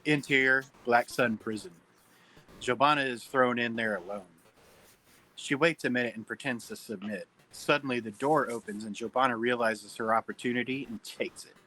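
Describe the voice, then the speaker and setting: A man's voice: mid-range voice, moderate pace, sharp tone, rough recording. A man narrating stage directions from a script at a table read.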